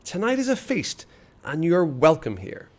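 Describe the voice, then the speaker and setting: A man's voice: a little high, wildly swooping pitch, measured pace, darkish tone, pitched above the performer's own voice, heavily acted. A man voicing a friendly young village boy who coaxes a stranger to join a feast. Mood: inviting. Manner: coaxing, beckoning.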